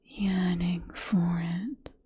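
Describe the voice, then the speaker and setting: A woman with a British accent playing a possessive, seductive vampire professor in a soft, breathy whispered ASMR roleplay, coaxing and teasing with condescending affection. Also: lowish voice, flat delivery, drawn-out words, full character voice.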